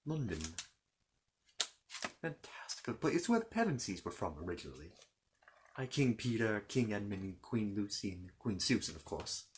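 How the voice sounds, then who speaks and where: Man playing a halting, animated, bumbling knight. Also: lowish voice, a little hiss or hum. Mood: curious, friendly. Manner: halting, stammering, apologetic, chatty. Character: polite, bumbling, bookish knight from a fantasy kingdom. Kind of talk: improvised ASMR character roleplay.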